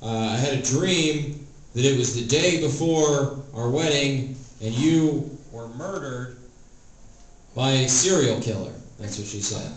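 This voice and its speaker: A thirty-year-old man performing stand-up in a comedy club, his delivery dry and halting, padded with "uh" and "like". He is recorded from some distance, so the sound is roomy, and audience laughter and noise are heard clearly behind him. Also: mid-range voice. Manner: dry, hesitant delivery with many "uh" and "like". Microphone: stage microphone.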